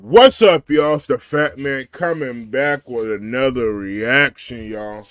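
A man's voice: mid-range voice, takes it slowly, fairly bright tone, dry recording. Man, laid-back slangy talk, very dry booth-like sound. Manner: laid-back, slangy, speaks straight to viewers with frequent "y'all". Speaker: reaction video host. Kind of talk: casual reaction commentary.

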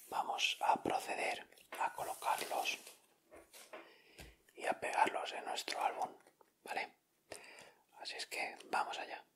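Whispering man with a Spain Spanish accent. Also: sharp tone. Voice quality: breathy unvoiced whisper. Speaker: ASMR video host. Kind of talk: whispered ASMR, casual commentary. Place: indoors at a table.

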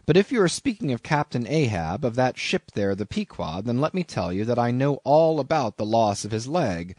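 A man's voice: mid-range voice, very animated, normal speed, a little mellow, dry recording. A man voicing a plain-spoken, skeptical and impatient sailor in an audiobook. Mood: dismissive. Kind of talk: audiobook character reading.

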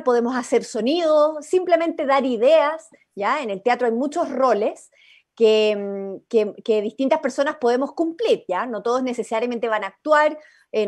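A young woman with a Chilean accent guiding an informal workshop over a video call in an encouraging, inviting way. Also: high voice. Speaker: workshop facilitator. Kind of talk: informal guided workshop talk. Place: indoor room, on a video call. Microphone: video-call computer microphone.

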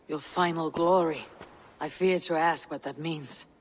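An actor playing a stoic, blunt Viking warrior in a video game, loyal but wary, with a terse and measured delivery. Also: male voice, a little high, steady tone, long reverb tail, a little hiss or hum, lightly acted. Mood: wary, troubled. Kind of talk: dramatic video game acting.

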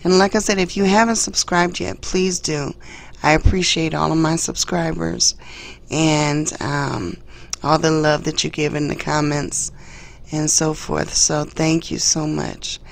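A hoarse woman talks slowly to her channel while cooking at the stove, her voice low and gravelly. She speaks softly, with plenty of ums, and the camera is set up in her home kitchen. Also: fairly even intonation. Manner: soft-spoken with frequent ums. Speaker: channel host. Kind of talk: casual cooking vlog talk. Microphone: camera mounted above the stove.

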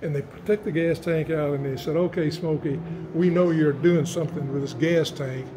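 An older man giving a guided museum tour, telling folksy stories with halting restarts, recorded in a large indoor hall. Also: fairly high pitch, fairly even intonation, background noise. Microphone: camera held by a visitor nearby.

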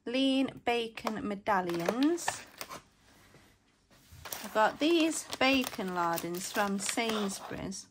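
A woman's voice: medium pitch, noisy background. A young woman chatting through a grocery haul in her home, listing items in a matter-of-fact way. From England. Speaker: family vlogger. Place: living room at home, then kitchen counter.

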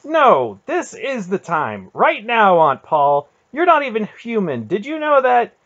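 A man's voice: high voice, wildly swooping pitch, unhurried, fairly bright tone, lo-fi sound, pitched above the performer's own voice, full character voice. A man voicing a defiant, hurt adolescent boy in an audiobook, heated and protesting, with a strained delivery. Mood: furious. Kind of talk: dramatic audiobook character voice.

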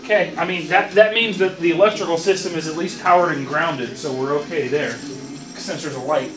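A man's voice: a little high, normal speed, fairly bright tone, far from the mic. A young man working in a garage, asking questions and explaining car repairs in a practical way. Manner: practical, questioning, matter-of-fact. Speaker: car restorer and show host. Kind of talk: casual workshop chat. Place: garage workshop. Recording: echoey garage with music.

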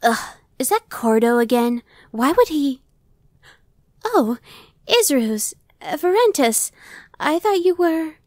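Casting: woman to play a laid-back, dry-witted she-demon for ASMR roleplay, voice a bit high. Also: very animated, takes it slowly, no room sound, full character voice. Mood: surprised. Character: young female demon scout Evanescence, casual, dry-witted, inwardly brooding. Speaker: voice actor. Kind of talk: ASMR roleplay audio drama.

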